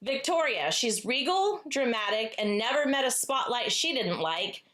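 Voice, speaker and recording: A woman co-hosting a casual book chat in a playful, cheeky manner, pausing dramatically for emphasis. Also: on the high side, very animated, fairly bright tone. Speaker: podcast co-host.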